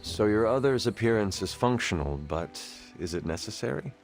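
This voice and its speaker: A stoic supernatural warrior, speaks in a lowish voice over prominent game music. The lines come out formal and measured, with dry curiosity. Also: male voice, expressive. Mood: curious, reassuring. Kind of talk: video game dialogue acting.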